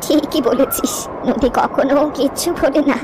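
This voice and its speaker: A woman playing a wistful, gentle old village grandmother who tells river lore with poetic, reflective pauses in a cartoon story. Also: high voice, fairly even intonation, background noise, lightly acted. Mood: mysterious, gentle. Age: older.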